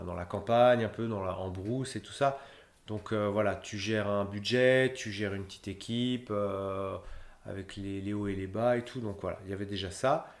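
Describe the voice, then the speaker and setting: A man in his thirties, a humanitarian logistician, answering interview questions in a rambling way with many fillers and restarts. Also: lowish voice. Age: 30s. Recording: roomy echo.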